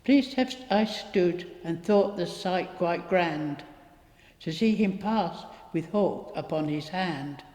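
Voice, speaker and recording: Slow, reverberant woman reciting verse. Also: on the low side, lively intonation, a little mellow. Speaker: poetry reader. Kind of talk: measured poetry recitation. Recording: echoey hall with long reverb.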